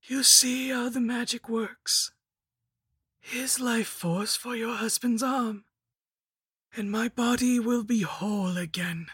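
A cruel, ancient undead sorceress from a dark fantasy audiobook. Her voice is pitched high and husky, sweetly menacing and gloating, recorded close and dry. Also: fairly even intonation, slow pace, dry recording, exaggerated voice. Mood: coaxing, gloating. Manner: mock-sweet and menacing, hissing outbursts.

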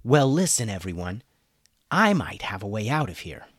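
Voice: medium pitch, very animated, moderate pace, breathy edge, dry recording, studio quality. A storyteller voicing an eager, earnest young boy on an adventure in a children's story. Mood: hopeful.

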